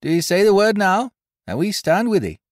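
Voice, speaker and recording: A man's voice: high-pitched, big pitch swings, moderate pace, no room sound, clean studio sound, pitched above the performer's own voice. A man voicing a bold, emphatic sailor with rustic seafaring speech in a storybook. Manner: emphatic, cold, dialect-laden. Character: bold male sailor with rustic speech, protesting his honesty. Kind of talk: audiobook character voice, old seafaring dialect.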